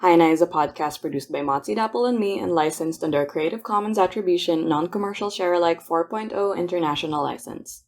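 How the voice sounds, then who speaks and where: A woman's voice: fairly low pitch, quick pace, few pauses, a little hiss or hum. A woman, co-producer of the podcast, reading the credits and support links. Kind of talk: read credits announcement.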